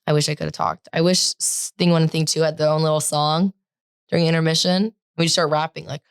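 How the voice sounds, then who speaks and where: A teenage girl co-hosting a podcast in casual chat, talking loudly and rambling with lots of "like" fillers. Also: low-pitched, little pitch movement, fairly quick, smooth, dry recording, clean studio sound. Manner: loud, projecting, rambling with many "like" fillers. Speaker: podcast co-host.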